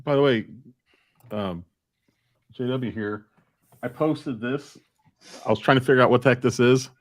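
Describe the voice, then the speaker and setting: A man's voice: medium pitch, a little slow, dry recording. A man hosting a casual live-stream chat, talking informally. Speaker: podcast host.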